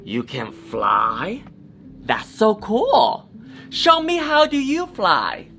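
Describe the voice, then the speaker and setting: A woman's voice: mid-range voice, wildly swooping pitch, extremely slow, fairly bright tone, slight background noise, voice pushed up, heavily acted. A woman voicing curious, blunt schoolchildren in a storybook, pert and exclamatory. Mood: curious, friendly. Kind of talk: storybook character voice.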